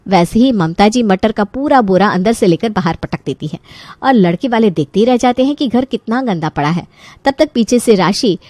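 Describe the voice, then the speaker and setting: A woman narrating a scripted animated family story as its storyteller. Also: medium pitch, no echo.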